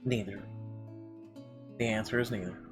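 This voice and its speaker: A man's voice: lowish voice, fairly even intonation, moderate pace. A man playing a visual novel, reading its text aloud expressively with casual commentary. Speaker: let's player reading game text aloud. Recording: guitar game music behind the voice. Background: guitar game music.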